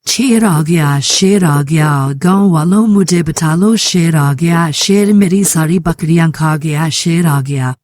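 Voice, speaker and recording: A mischievous young shepherd boy in a children's cartoon, as a man puts him on, yelling in a frantic, raised voice. The pitch is pushed a little high, and the recording is dry and close. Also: few pauses, no echo, close to an everyday voice. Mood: mock alarm. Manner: frantic shouting for help.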